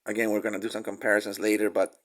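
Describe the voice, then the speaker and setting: A man's voice: lowish voice, flat delivery, fairly bright tone. Nasal man recovering from a cold. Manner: halting, many fillers and restarts. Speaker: toy reviewer. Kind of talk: casual product review.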